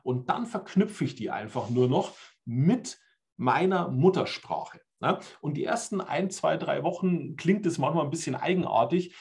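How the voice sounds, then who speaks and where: A man's voice: fairly high pitch, lively intonation, moderate pace, a little mellow. German man explaining, tag questions. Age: 40s. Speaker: language trainer. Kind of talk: online conference presentation. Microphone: webcam on video call.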